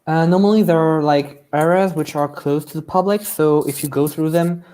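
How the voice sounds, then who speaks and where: A man from France guesting on a live video-call stream, soft-spoken and hesitant, giving brief polite replies. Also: a little high, normal speed. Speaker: guest YouTuber. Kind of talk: casual live-stream interview.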